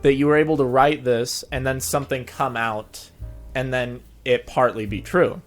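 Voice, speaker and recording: A man's voice: mid-range voice, steady tone, moderate pace. Casual male interviewer over background music. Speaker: show host and interviewer.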